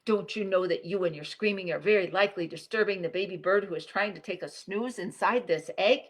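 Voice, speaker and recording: A woman's voice: medium pitch, fairly quick, close to an everyday voice. A woman voicing a fussy, possessive and boastful duck in a picture book read-aloud, scolding with prim, bossy wording. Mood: exasperated.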